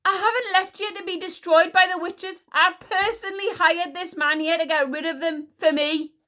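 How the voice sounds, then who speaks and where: A woman's voice: very high voice, steady tone, normal speed, bright, forceful tone, pitched above the performer's own voice. A woman voicing a haughty, hot-tempered warrior queen in a storybook, imperious, indignant and snapping. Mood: outraged.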